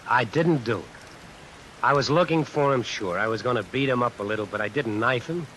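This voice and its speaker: An actor playing a brawling, boastful tough drifter with an American accent in 1940s radio suspense style. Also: male voice, mid-range voice, a little fast, fairly bright tone, natural voice, poor audio. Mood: dazed pleading. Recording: old radio recording under rain noise.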